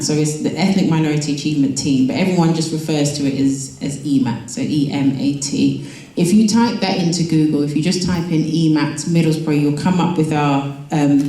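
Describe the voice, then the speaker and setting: A woman's voice: low voice, mellow. A woman who manages a council education team, giving a reassuring community talk full of ums. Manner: reassuring, repeats herself, many ums. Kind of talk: community presentation. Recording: echoey hall.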